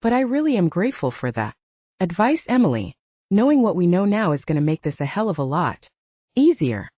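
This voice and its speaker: A woman's voice: fairly low pitch, sing-song, brisk, dark tone, husky, no room sound, lo-fi sound. A woman voicing an earnest, stubborn young male werewolf hero in an audiobook. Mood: grateful, wry. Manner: pleading, wry, sighing.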